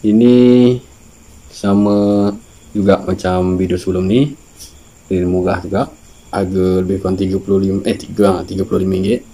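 A Malaysian man in his thirties chatting casually and haltingly as an informal vlogger, often searching for words. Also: low-pitched, flat delivery, warm tone. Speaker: fishing channel vlogger. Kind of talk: casual unboxing chat. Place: small room indoors with guitars on the wall.

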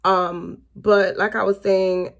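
Chatty woman in her late thirties with a gravelly voice. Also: mid-range voice, flat delivery, slow pace. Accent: Southern US (Florida). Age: late 30s. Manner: chatty, rambling, laughs often, addresses viewers as you guys. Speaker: beauty vlogger. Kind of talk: casual chatty get-ready-with-me vlog. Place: small bedroom, indoors. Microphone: camera in front at close range.

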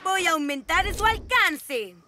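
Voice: very high voice, lively intonation, takes it slowly, heavy background noise, full character voice. An actor voicing a gloating boy mad-scientist villain in a Latin American Spanish cartoon dub, smug, mischievous and mocking. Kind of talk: animated series dub acting. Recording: music under the voice.

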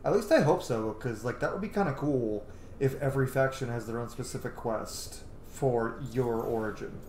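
A man's voice: mid-range voice, moderate pace, a little mellow, slight background noise. A man in his thirties giving casual commentary as he plays through a roleplaying game. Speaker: gaming streamer roleplaying a playthrough. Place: room at home, indoors. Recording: roomy, game music faintly behind.

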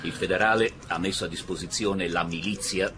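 An actor playing an authoritative, blustering police chief in an Italian radio drama, insistent and commanding. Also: male voice, deep voice, fairly even intonation, a little fast, very noisy, lightly acted.

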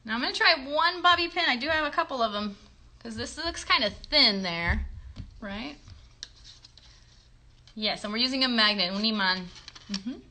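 A woman's voice: a little high, expressive, moderate pace, pauses often, bright, forceful tone, slight background noise. A woman in her thirties casually hosting a children's craft demonstration, encouraging young viewers with questions. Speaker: children's puppet show host. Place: bedroom at home. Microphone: phone at about arm's length.

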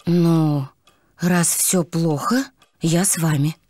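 A woman playing a gentle, understanding mother cow in a children's cartoon, talking calmly and softly to her children. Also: low-pitched, slow pace. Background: music.

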